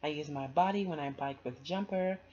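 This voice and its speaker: A woman's voice: low-pitched, brisk, warm tone, lightly acted. Woman voicing an earnest young girl, muffled recording. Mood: earnest, content.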